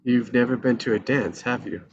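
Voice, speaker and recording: A man playing a discontented, self-pitying married man in his thirties in a live screenplay read, speaking casually and haltingly. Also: medium pitch, lo-fi sound, a little hiss or hum, natural voice. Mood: wistful, teasing.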